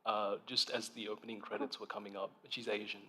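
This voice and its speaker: A man's voice: lowish voice, level tone, fairly quick, pushed and bright, husky, low-quality recording. A man speaking as an author on a festival panel, thoughtful and reflective, with frequent ums and restarts.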